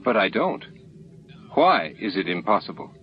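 A man voicing a composed human station commander, polite, deliberate and quietly persistent. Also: low voice, sing-song. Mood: feigned puzzlement. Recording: dull lo-fi audio, faint noise.

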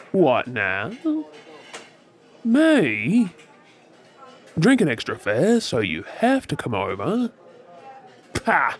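A man's voice: fairly high pitch, full character voice. Man acting a swooping, tipsy Australian flirt. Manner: teasing, drawling, halting with hesitations. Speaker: audio roleplay performer. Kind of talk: ASMR audio roleplay, flirty monologue to the listener. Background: faint bar crowd chatter.

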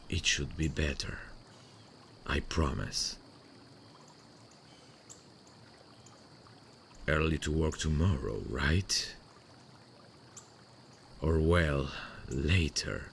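A man playing a sweet, devoted long-distance boyfriend in an intimate ASMR roleplay, speaking tenderly and soothingly with hesitant pauses. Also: very deep voice, vocal fry, a little hiss or hum, heavily acted. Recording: faint running-water nature sounds behind. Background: stream nature sounds.

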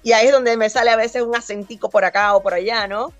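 A woman born in Cuba, in her fifties, speaks in a slightly high voice over a video call. She talks in an animated way and gestures as she tells stories. The sound is close and dry, with faint music behind her. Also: fast, dry recording, highs cut off. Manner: animated, gestures a lot while talking. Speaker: voice actor guest. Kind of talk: remote interview, storytelling.

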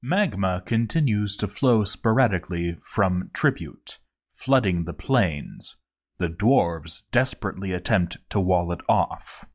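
A man's voice: lowish voice, a little mellow. A man reading a written video game playthrough aloud as scripted narration, announcing events with some theatrical flair.

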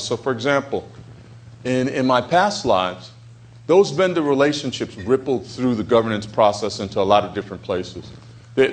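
Guy moderating a conference panel, middle pitch, chatty and fluent, hotel meeting room. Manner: fluent, conversational, frames topics with examples. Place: hotel conference room, standing before a seated audience.

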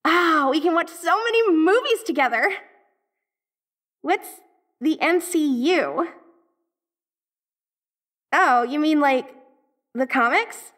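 A woman's voice: high-pitched, animated, slow pace, long pauses, fairly bright tone. A woman playing a dorky tsundere ghost girl from the eighties in an audio roleplay, with an American valley-girl accent and a gushing, hesitant, slangy manner. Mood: happy, eager. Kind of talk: audio roleplay acting, speaking directly to the listener.

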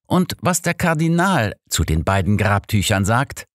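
A man reading as precise old detective, deep voice. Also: sing-song, a little slow, no echo, studio quality. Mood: explaining, assured. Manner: precise, formal, explanatory. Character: old master detective, analytical, sure of himself.

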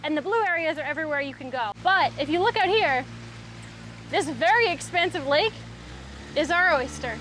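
A woman with a high voice hosts a casual travel vlog in an upbeat, playful way. She chats to viewers out in the open, with faint ambient noise behind her. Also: lively intonation, moderate pace, fairly bright tone. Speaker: vlog host. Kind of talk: casual, chatty travel vlogging. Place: outdoors, mostly on a boat on a lake.

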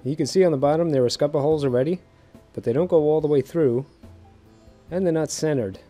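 A man's voice: fairly high pitch, fast, warm tone, faint music behind. Easygoing man narrating a boat project. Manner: easygoing, chuckles at himself. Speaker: fishing video host. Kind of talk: casual how-to narration. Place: on a docked boat outdoors.

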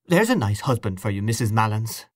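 A man voicing an excitable, warm-hearted young wife speaking lightly to her husband. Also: on the low side, a little fast, no echo, studio quality. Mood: eager.

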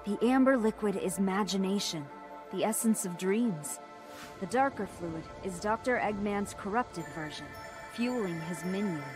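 A woman voicing a gentle, solemn dream-guardian sheep in a video game, speaking in a measured, formal way. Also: medium pitch, animated, music in the background, natural voice. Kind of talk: video game cutscene acting.

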